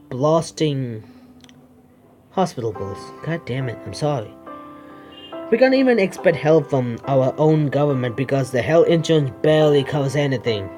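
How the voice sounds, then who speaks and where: Halting man reading a game aloud. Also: a little high, dark tone. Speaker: gaming video creator. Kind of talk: game playthrough, reading narration aloud with asides. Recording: music behind the voice.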